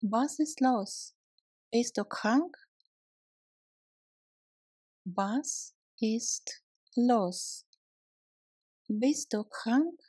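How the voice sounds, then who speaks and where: A woman's voice: on the high side, extremely slow, long pauses, mellow, breathy edge, dry recording, studio quality. A woman language teacher drilling short phrases, saying each one, breaking it into chunks, then repeating it. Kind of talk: slow phrase drill with translations.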